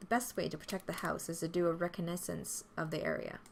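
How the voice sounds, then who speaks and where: A woman's voice: on the low side, fairly even intonation, fast, vocal fry, background noise, pitched below the performer's own voice. A woman voicing an earnest little boy in a visual novel, eager, innocent and a little self-important. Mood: self-important, curious. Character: earnest little boy playing house guardian and explorer.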